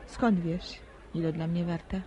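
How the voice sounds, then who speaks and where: A woman's voice: lowish voice, fairly even intonation, unhurried, dark tone, a faint crowd, close to an everyday voice. An actress playing a proud young village woman in a radio drama, coy and tart in colloquial rural speech. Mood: tart, wounded pride. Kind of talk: naturalistic Polish radio drama acting. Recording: radio drama with faint background noise.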